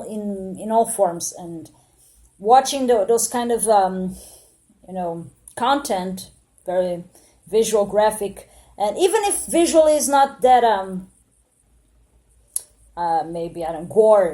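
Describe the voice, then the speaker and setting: A woman in her late thirties, from Portugal, talks straight to the camera in a mellow, soft-edged voice at a middling pitch. She reflects earnestly, circling back on her words with frequent fillers and self-corrections. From Portugal, born in Angola. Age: 30s. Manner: hesitant, many fillers and restarts, earnest and reflective. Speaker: vlogger. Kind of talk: spontaneous monologue to camera.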